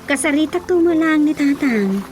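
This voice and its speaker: An insistent grown daughter in a radio drama. Her voice is high and animated, with an Ilocano accent, over clearly audible music. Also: moderate pace. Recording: music behind the voice.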